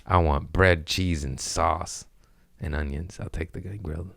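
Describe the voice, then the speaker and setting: A man hosting a podcast, rambling through loose, profane jokes in casual chat with a deep voice. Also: steady tone, a little slow, vocal fry, dry recording, studio quality. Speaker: podcast host, comedian.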